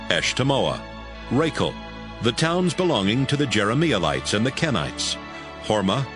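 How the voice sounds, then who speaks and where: A male narrator reads scripted scripture as dramatized storytelling. His voice is on the low side and highly animated in its melody. Music plays clearly underneath.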